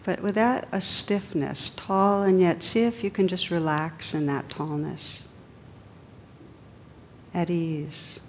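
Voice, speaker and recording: A woman meditation teacher leading a guided meditation in a gentle, unhurried manner with long pauses, on a dull recording. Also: steady tone. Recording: dull, muffled sound with faint rumbling noise.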